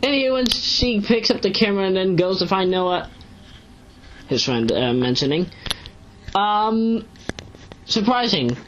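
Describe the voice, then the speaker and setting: A woman giving casual, unscripted commentary as an online reviewer, rambling and halting as she corrects herself. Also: medium pitch, lively intonation, dull audio, slight background noise. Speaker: online video reviewer and narrator. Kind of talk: casual unscripted commentary recapping a web series.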